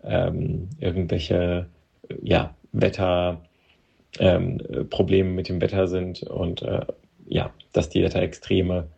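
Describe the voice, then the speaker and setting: A man from Moldova whose first language is Russian, an interview guest talking hesitantly with many pauses and restarts. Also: sits low, flat delivery, gravelly, warm tone, slightly rough, lo-fi sound. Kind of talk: personal interview account.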